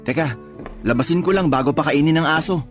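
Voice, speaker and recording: An actor playing a doting, put-upon young tricycle driver in a Tagalog radio drama, pleading and exasperated. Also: male voice, fairly high pitch, a little fast, soft background music, close to an everyday voice. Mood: cheerful, doting.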